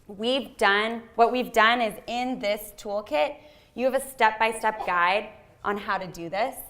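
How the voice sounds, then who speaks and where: A woman giving a live training presentation to an audience in a meeting room. She speaks loudly and casually, with frequent ums. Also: on the high side, unhurried. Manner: chatty, frequent ums, loud voice. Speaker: workshop presenter. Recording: roomy echoey hall sound.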